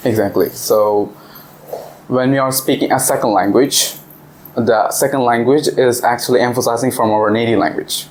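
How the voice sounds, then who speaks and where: A man's voice: mid-range voice, steady tone, moderate pace, slight background noise. A man leading a discussion with an English speaking club, asking the students questions. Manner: asks the class questions. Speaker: visiting English teacher. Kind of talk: classroom discussion.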